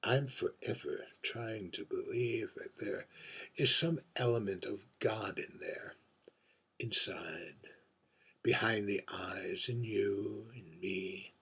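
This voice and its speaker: A man playing a world-weary, wry drag performer in a theatrical monologue, confiding and deliberate, moving between hushed murmurs and emphatic phrases. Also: lowish voice, breathy edge, exaggerated voice. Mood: melancholy, philosophical. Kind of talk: theatrical dramatic monologue read by its author.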